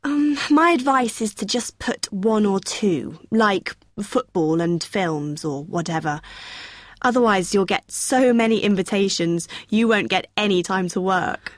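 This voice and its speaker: A woman's voice: mid-range voice, unhurried, no room sound, natural voice. Helpful young student union woman, acted. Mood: friendly, helpful. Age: young adult. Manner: helpful, explanatory. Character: friendly, helpful female student union helper. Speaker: student union helper in a listening exercise. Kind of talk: acted everyday dialogue.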